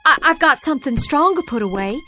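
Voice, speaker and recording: High, swooping voice of a lonely widow. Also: female voice, expressive, sharp tone, faint music behind. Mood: pleading. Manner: pleading, halting, emotional. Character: bitter, grieving, lonely sick Southern war widow. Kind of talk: radio drama acting.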